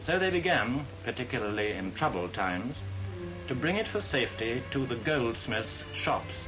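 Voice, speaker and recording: A man giving formal, measured, didactic narration in the style of a 1940s educational film. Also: mid-range voice, very animated, poor audio. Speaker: documentary narrator. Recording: vintage 1940s film soundtrack. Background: music.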